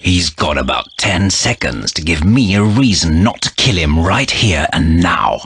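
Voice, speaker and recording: An actor playing a tough young male commando who talks in curt, threatening lines, with a husky voice. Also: sits low, lively intonation, measured pace, a bit bright, faint music behind, full character voice. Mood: hostile.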